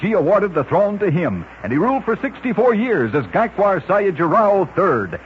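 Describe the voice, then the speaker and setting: A man narrates in a brisk, old-time radio announcer manner, his voice pitched a little high and swinging widely up and down. The recording is muffled and lo-fi, with faint hiss underneath. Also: sing-song. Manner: brisk announcer delivery of odd facts.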